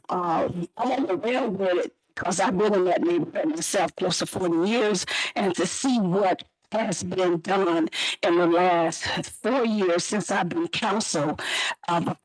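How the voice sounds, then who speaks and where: A middle-aged woman on a city council, hoarse today, giving earnest, rambling support at a public meeting with many fillers. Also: fairly low pitch, darkish tone, no room sound, low-quality recording.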